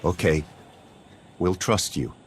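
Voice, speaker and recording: An actor playing a principled, fearful civilian in a video game, husky, earnest and solemn. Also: male voice, low-pitched, expressive, takes it slowly, slight background noise. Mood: solemn, resigned. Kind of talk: scripted video game drama acting.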